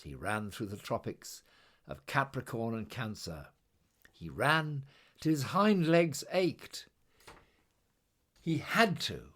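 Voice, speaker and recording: Slow, very expressive male storyteller. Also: fairly low pitch. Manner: expressive, incantatory repetition. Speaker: storybook reader. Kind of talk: dramatic, rhythmic scripted story narration.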